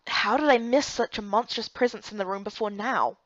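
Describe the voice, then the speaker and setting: A woman's voice: mid-range voice, a little fast, no room sound, dull audio. A woman reading scripted first-person story narration for a game playthrough.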